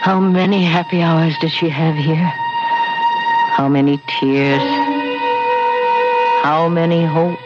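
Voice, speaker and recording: An actress playing a lonely, resigned older housewife in an old-time radio drama, subdued and plaintive. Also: female voice, deep voice, steady tone, slow, pauses often. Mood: wistful brooding. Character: lonely, resigned retired housewife, longing, childless. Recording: muffled old radio broadcast, music.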